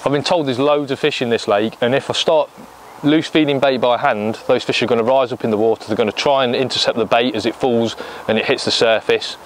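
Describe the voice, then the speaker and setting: A man's voice: mid-range voice, steady tone, a little fast, a little hiss or hum. A man in his thirties with an English accent, giving a practical step-by-step fishing tutorial from a lakeside bank on a lapel mic. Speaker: angling coach.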